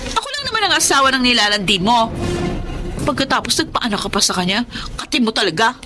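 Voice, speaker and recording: An actress playing a jealous, betrayed wife in a radio drama, sarcastic and confrontational. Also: female voice, fairly high pitch, sing-song, fast talker, over music. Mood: scornful, accusing. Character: jealous, combative betrayed wife confronting her husband's mistress. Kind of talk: Tagalog radio drama acting.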